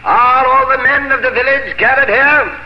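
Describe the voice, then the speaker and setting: Stern, high-pitched British captain. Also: male voice, steady tone, moderate pace. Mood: commanding. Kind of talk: 1950s radio drama acting. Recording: dull, noisy old radio recording.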